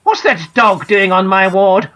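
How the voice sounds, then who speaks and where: A stern ward sister from a storybook scolds sharply and slowly, with a firm, rather loud voice, as a woman reader puts her on. Her words come out clipped and strict. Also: medium pitch, close to an everyday voice. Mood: indignant. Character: stern angry woman in charge of a hospital ward.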